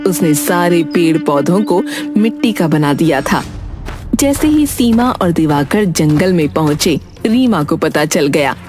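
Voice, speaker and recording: A woman's voice: low voice, animated, unhurried, music in the background. A woman delivering scripted storytelling narration for a fairy tale.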